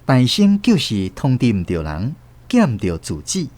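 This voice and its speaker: An actor playing an earnest nephew who narrates family memories in a radio drama, with a Taiwanese accent. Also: male voice, mid-range voice, wildly swooping pitch, normal speed, darkish tone, no room sound. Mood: puzzled. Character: earnest nephew recalling his family's sorrows.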